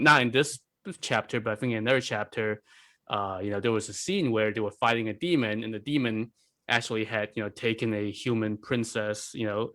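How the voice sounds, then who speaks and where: A man talking casually as a podcast panelist, halting often and peppering his speech with "like" and "you know.". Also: on the low side, fairly bright tone. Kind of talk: casual discussion.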